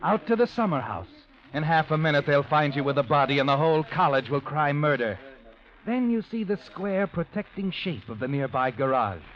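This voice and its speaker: An actor playing a sinister, all-knowing narrator, mocking and dramatic, in 1940s radio noir style. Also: male voice, on the high side, big pitch swings, moderate pace. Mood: ominous, taunting. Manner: ominous, mocking, dramatic, addresses the character. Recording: muffled old radio recording. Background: dramatic music.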